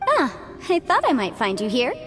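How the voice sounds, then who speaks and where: A woman's voice: sits high, moderate pace. A woman voicing a cheerful, articulate young legal advisor in an anime-style game, polite and a little sly. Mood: teasing. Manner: chatty, expressive, articulate. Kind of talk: anime game cutscene voice acting. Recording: music behind the voice.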